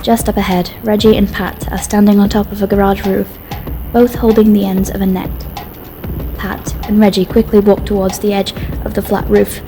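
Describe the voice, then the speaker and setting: A woman's voice: mid-range voice, little pitch movement, normal speed. Woman narrating with music behind. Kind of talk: scripted story narration.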